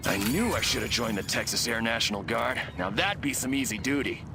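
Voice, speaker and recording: An actor playing a disgruntled, dim soldier in a video game, grumbling with self-pity. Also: male voice, medium pitch, lively intonation, a little fast, creaky, background noise. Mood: glum. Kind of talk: video game cutscene acting.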